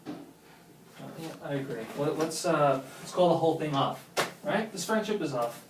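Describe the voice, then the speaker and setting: An actor playing a lovesick, wounded man with a sarcastic edge, halting and emphatic in a naturalistic drama read-through. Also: fairly high pitch, animated, lightly acted. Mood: wounded, earnest. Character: lovesick, wounded, sarcastic man confessing love to his friend. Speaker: actor at a script table read. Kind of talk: naturalistic film drama dialogue read aloud. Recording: roomy echo, distant mic, faint noise.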